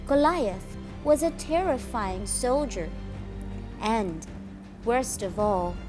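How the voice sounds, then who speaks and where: A woman reading a children's story aloud in a dramatic, expressive way. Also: medium pitch. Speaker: storyteller, teacher.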